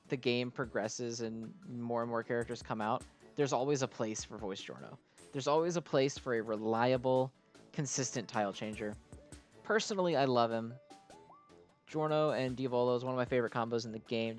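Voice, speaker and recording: Male gaming host, medium pitch, enthusiastic and exclamatory, casual gameplay commentary with music behind.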